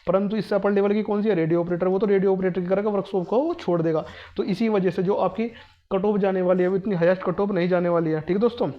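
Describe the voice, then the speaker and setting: A man's voice: high-pitched, steady tone, fast, dull audio. A man explaining exam information to students informally, in a repetitive, insistent, emphatic manner. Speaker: exam prep presenter.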